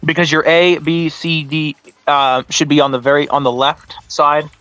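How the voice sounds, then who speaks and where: A man co-hosting a livestream in informal, halting chat, often repeating himself. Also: a little high, little pitch movement, sharp tone, dry recording. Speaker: livestream co-host. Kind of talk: casual chat.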